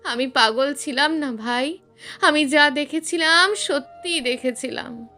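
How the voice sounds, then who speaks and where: A woman's voice: high-pitched, slow, bright, forceful tone, faint music behind, exaggerated voice. A woman narrator voicing a guilt-ridden, frightened middle-aged father in a story, haunted and pleading. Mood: haunted, insistent.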